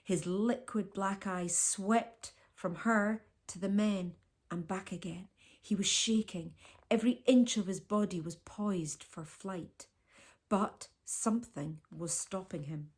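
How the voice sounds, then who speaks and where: A woman reading a children's storybook aloud as a teacher, with expressive storytelling delivery. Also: medium pitch, slow pace.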